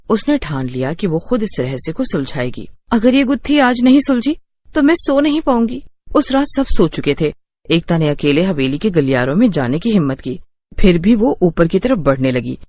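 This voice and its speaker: A woman's voice: sits low, mellow. A woman reading scripted horror story narration as a storyteller.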